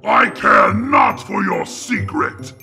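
A woman's voice: mid-range voice, slow pace, gravelly, pushed and bright, slightly rough, soft background music, voice pushed down, exaggerated voice. A woman voicing a menacing male cartoon villain with a threatening growl.

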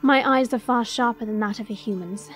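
A woman's voice: a little high, fairly quick, a bit bright, natural voice. A woman playing a young dragon princess who is superior toward humans, in an audio drama. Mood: cool, curious. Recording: music playing behind. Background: background music.

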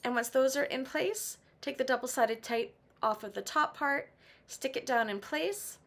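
A middle-aged woman with a raspy voice from laryngitis, patiently walking through a sewing tutorial step by step. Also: high voice, moderate pace, a bit bright. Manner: patient, practical, with some "um" and restarts. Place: home sewing room.

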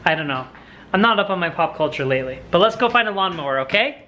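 A man's voice: high voice, lively intonation, fast talker, bright, forceful tone, muffled recording, a little hiss or hum. A young American man chatting in an upbeat, playful way to a camera held at arm's length indoors, as a family vlogger. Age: young adult. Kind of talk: casual, chatty vlog talk to camera. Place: indoors at home.

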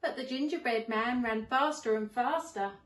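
A woman in her forties reading a storybook to young children as a teacher, expressively and deliberately. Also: on the high side, a little hiss or hum. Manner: deliberate, animated. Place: living room at home. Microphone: camera a few metres away. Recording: roomy, distant camera microphone.

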